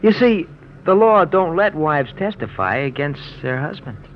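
Menacing small-time crook, tough slangy delivery, forties radio drama, noisy muffled sound. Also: male voice, on the high side, big pitch swings, a little slow. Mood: sly, amused. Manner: slangy tough-guy talk. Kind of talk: 1940s radio drama acting.

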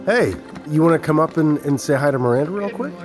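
A man's voice: on the high side, lively intonation, fast talker, music in the background, lightly acted. An actor playing an affable middle-aged security chief and father, easygoing and a little hesitant, in a naturalistic audio drama. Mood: warm, eager. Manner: easygoing, casual, slightly hesitant.

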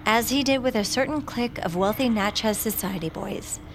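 A woman's voice: on the high side, moderate pace, a little hiss or hum, close to an everyday voice. An actress playing a wary, indignant, confrontational woman guarding her secrets in an audio drama. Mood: ominous. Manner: blunt, confrontational. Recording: loud dramatic music underneath.

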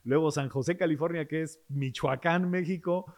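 A middle-aged man from Peru with a highland Cusco accent, a radio host talking casually as an interview guest and telling his stories with energy. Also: normal speed. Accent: Peruvian, from the highlands around Cusco. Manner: animated storyteller who slips into put-on accents.

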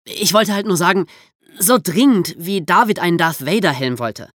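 A man's voice: sits high, lively intonation, moderate pace, a bit bright, no room sound, clean studio sound. A man co-hosting a podcast, explaining history in a conversational storytelling manner. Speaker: podcast host.

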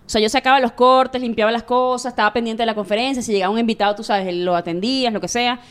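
A Venezuelan woman in her late thirties, a sports journalist telling stories candidly in a relaxed interview. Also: a little high, clean tone. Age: 30s. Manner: chatty and candid, rapid storytelling. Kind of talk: casual interview chat.

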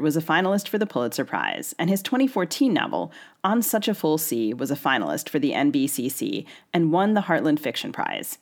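A woman co-hosting a podcast, moving between a scripted introduction and light banter. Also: low-pitched. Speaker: podcast co-host.

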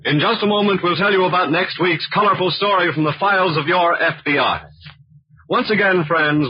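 A man working as an announcer, reading a scripted sponsor pitch in 1940s radio style. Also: breathy edge. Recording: dull old radio recording.

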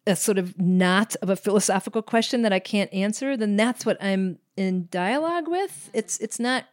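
A woman's voice: mid-range voice, moderate pace, dry recording. A woman filmmaker answering interview questions reflectively, searching thoughtfully for her words. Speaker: filmmaker guest.

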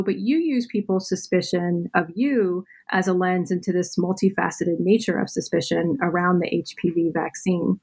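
Woman host with a breathy, slightly low voice, casual interviewer. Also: darkish tone, poor audio. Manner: conversational, hedging with "you know" and "kind of". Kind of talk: academic interview questions.

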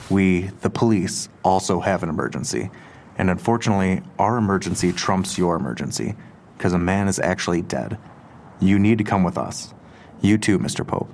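A deep-voiced police detective, deadpan and patronizing, in an audio drama. He speaks deliberately, with background noise around him. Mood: patronizing, dry. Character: condescending, unflappable male police detective.

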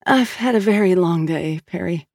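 A man acts out a guarded woman detective in her thirties for an audiobook. She is worn out, terse and exasperated, with a creaky voice in a close, dry recording. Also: high-pitched, normal speed, darkish tone, no room sound.

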